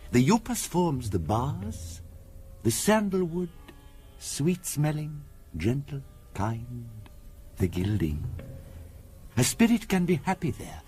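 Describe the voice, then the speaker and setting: An actor playing a solemn, courteous mystic in a radio drama, speaking in a measured, formal, portentous storytelling manner. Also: male voice, fairly high pitch, a little mellow. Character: solemn, courteous male mystic recounting a family curse. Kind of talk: 1970s radio drama acting.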